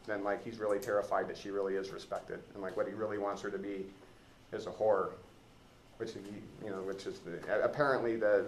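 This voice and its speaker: A man teaching literature in an informal classroom lecture, with a halting, rambling and wry delivery. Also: fairly low pitch, slight background noise, poor audio.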